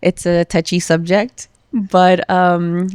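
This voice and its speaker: A woman's voice: lowish voice, flat delivery, takes it slowly, darkish tone, clear, resonant tone, no echo, studio quality. A woman in her thirties from Los Angeles, a podcast guest talking candidly and emotionally, with lots of filler words. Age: 30s. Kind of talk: casual conversational interview.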